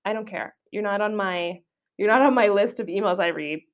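A woman's voice: medium pitch, fairly quick, highs cut off. A young woman with a Canadian accent, hosting a solo podcast in a casual, chatty way with frequent fillers. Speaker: podcast host.

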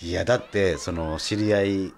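A man hosting a casual radio chat, telling stories in an animated way and acting out dialogue. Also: deep voice, normal speed, vocal fry. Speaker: radio host. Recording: music behind the talk. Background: background music.

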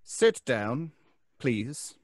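A cold over-polite threatening lawyer, dry close sound, lots of pitch movement, horror audio play. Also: male voice, very animated, extremely slow, dry recording, heavily acted. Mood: menacing. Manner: formal, stiff, insistent, over-polite. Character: menacing, coldly polite male lawyer enforcing a will. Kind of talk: audio horror drama acting. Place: indoors, on a video call.

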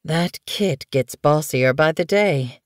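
A woman voicing a calm, kindly male cat healer in an audiobook, measured, reassuring and lightly wry. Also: low voice, no room sound, clean studio sound.

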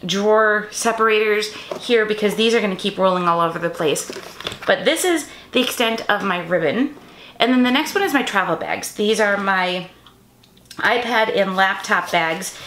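Chatty woman in an echoey craft room. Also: mid-range voice. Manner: chatty, self-correcting, relaxed. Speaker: craft channel host. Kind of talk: casual show-and-tell narration. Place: home craft room, indoors. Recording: bright echoey room with faint noise.

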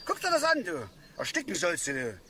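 A man's voice: sits high, huge pitch swings, fairly quick, pushed and bright, a little hiss or hum, exaggerated voice. An actor playing a cantankerous, opinionated middle-aged father and angler in a radio play, lecturing and easily worked up. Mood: agitated, ranting. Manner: blustering, lecturing, repeats words in agitation. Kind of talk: naturalistic radio play acting.

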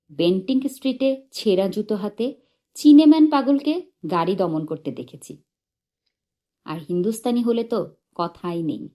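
High-pitched, animated woman storyteller. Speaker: audiobook narrator. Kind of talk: expressive narration of a humorous short story.

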